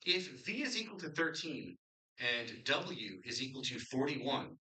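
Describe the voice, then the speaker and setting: Bright-voiced male quiz moderator. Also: mid-range voice, a little slow, poor audio. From Connecticut. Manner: relaxed, with frequent um and uh fillers between steady question reading. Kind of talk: moderating and reading quiz questions.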